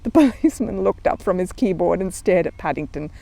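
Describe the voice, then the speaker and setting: Animated woman reading a children's story. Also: fairly low pitch, fairly quick, gravelly, dry recording. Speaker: storybook reader. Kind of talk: scripted children's story narration.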